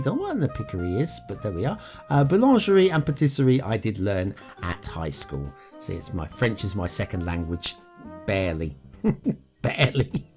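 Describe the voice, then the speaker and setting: A man hosting a map review show, giving relaxed running commentary over game footage with lots of chuckles and ums. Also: mid-range voice. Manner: chatty, self-mocking, chuckles often, trails off with ums. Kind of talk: casual gameplay commentary.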